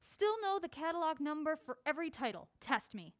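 A woman's voice: high voice, sing-song, fairly quick. An actress playing a mild-mannered film-obsessed woman with dry, wry banter in a naturalistic audio drama. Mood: proud, passionate.

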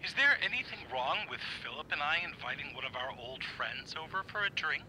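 A suave, menacing party host from an audio drama , played by a man. His voice is extremely bright and cutting, with lively, mannered politeness. A faint noise runs behind it. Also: on the high side, fairly quick, poor audio. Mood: falsely gracious.

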